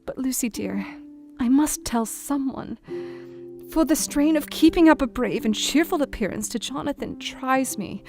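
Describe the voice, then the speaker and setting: An actress playing a worried young Victorian wife, reading out her letter in an audio drama in a gentle, earnest, formal way. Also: high-pitched, animated, moderate pace. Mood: anxious, confiding. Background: guitar music.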